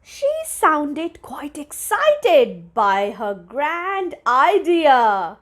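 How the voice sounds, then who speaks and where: High-pitched woman reading a children's story. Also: big pitch swings, drawn-out words. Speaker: storybook reader for young children. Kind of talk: expressive read-aloud of a children's story.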